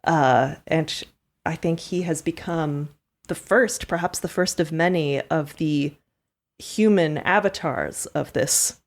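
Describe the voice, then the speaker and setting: A woman playing a tabletop game, improvising narration in a casual, animated storytelling manner. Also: deep voice, slow. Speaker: player and performer in a tabletop RPG.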